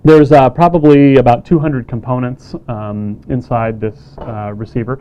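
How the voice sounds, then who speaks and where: A man's voice: medium pitch, moderate pace. Plain-spoken man on dull audio. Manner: relaxed, plain-spoken, with frequent "uh" and "okay". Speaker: company staff demonstrating a kit. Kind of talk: casual product demonstration.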